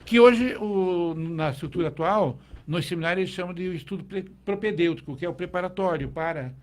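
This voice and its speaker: Middle-aged Brazilian man, teacher guest, slightly high voice, reflective, restarts a lot. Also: a little fast. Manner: reflective, with frequent restarts and tag questions. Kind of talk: conversational interview.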